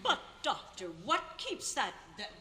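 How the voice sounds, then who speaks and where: A gushing, easily flustered society lady played by a woman in a radio play. She speaks slowly and theatrically in a highish, extravagantly swooping voice. The hall is echoey, with an audience audible. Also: wildly swooping pitch, pushed and bright, slight background noise, exaggerated voice. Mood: fascinated. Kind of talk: old-time radio drama acting.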